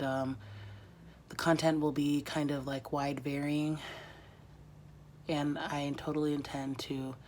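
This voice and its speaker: Woman, thirties, very low and monotone, congested and whispery, hesitant reflective vlog talk. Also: a little hiss or hum. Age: 30s. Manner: halting, reflective, many pauses and fillers. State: congested, losing her voice. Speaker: vlogger. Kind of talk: casual confessional vlog.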